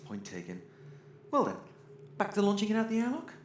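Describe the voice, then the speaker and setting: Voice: medium pitch, huge pitch swings, a little fast. A performer playing a fussy, sarcastic robot given to theatrical ranting in a science fiction audio drama. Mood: grumbling. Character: sardonic, ranting, greedy, fussy robot crew member. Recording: roomy echo, faint noise.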